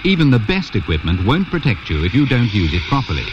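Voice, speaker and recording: A British man in his fifties presenting a scripted instructional training film in a measured, instructive manner. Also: medium pitch, wildly swooping pitch. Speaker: presenter and narrator of a fire safety training film. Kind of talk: scripted instructional narration to viewer. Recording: muffled, noisy 1980s 16mm film soundtrack.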